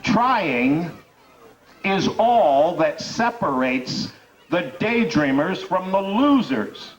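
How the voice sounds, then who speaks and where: A man's voice: high-pitched, sing-song, very slow. A man who hosts a radio talk show, delivering a rousing speech to an audience with emphatic, repeated rhetorical build-ups. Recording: dull, distant recording.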